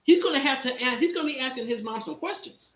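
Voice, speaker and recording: A man's voice: very high-pitched, fast talker, fairly bright tone, roomy sound, slight background noise, rough recording. A man hosting a casual stream, thinking aloud with restarts and rhetorical questions. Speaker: streamer host. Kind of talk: casual live-stream talk.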